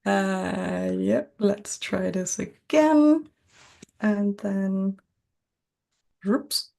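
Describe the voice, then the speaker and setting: A woman hosting an informal online journal club over a video call, explaining a paper in a hesitant way and pausing often to find her words. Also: mid-range voice, animated, gravelly, darkish tone. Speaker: journal club presenter and host. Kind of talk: informal online seminar presentation.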